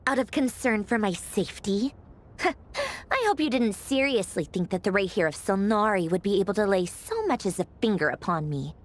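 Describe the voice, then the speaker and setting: An actress playing a haughty, sharp-witted young woman in a video game, sardonic and offhand with a dryly theatrical edge. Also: medium pitch, expressive, normal speed, close to an everyday voice. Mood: haughty teasing. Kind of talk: scripted video game voice acting. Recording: music playing behind the voice.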